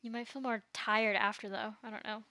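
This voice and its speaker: A woman's voice: a little high, fairly even intonation, a little fast, pushed and bright, no echo. A young woman chatting casually as she streams a video game. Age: teens to young adult. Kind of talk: casual chat while gaming.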